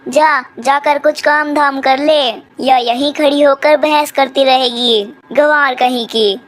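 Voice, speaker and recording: A woman voicing a haughty, scolding female crow, a sharp-tongued mother-in-law in a cartoon story. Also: high voice, steady tone, takes it slowly. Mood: angry.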